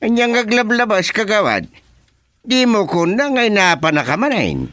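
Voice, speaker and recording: An actor playing an officious, teasing village chairman with an Ilocano accent, questioning in a comically deliberate way in a radio comedy. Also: sits high, very animated, fairly bright tone, dry recording, clean studio sound. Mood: probing.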